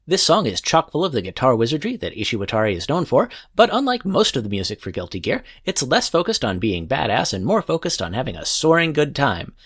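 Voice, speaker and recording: A man hosting a music podcast, reading scripted commentary in a playful, animated way. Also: mid-range voice, a little fast, hardly any pauses, slightly rough. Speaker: podcast host. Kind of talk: scripted music show narration.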